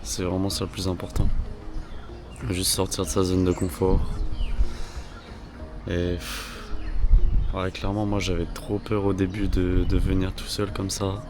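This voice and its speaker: A young man from France talks to his camera in a loose, rambling way, full of slang and restarts. His voice sits low and stays fairly flat. Music plays clearly behind him. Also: a little slow, long pauses. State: slightly hoarse from a cold. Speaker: vlogger. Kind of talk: casual travel vlog talk.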